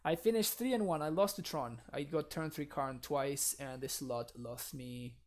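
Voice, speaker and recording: A man's voice: a little high, normal speed. Chatty streamer man with Spanish accent. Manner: chatty, laughs often, talks to chat. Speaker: game streamer. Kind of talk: casual live-stream commentary.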